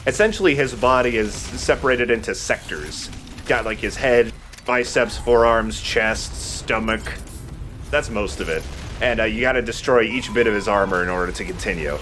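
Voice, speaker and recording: Man, low voice, casual game commentary, dry humor, informal, faint noise in the background. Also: moderate pace, a bit bright. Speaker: let's play host.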